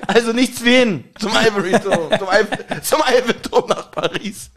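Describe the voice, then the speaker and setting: A man with a notably high voice chats casually as a podcast co-host. He teases his partner and laughs often between remarks. His tone stays light and bantering. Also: normal speed, a bit bright. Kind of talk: casual chat recapping a kids' audio drama.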